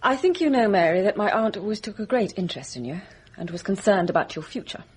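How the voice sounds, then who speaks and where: An actress playing a composed, formal young gentlewoman hiding hurt in a British radio mystery drama. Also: medium pitch, lively intonation, fast talker, slight background noise, lightly acted. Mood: formal, reserved. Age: young adult. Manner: controlled, formal, decisive.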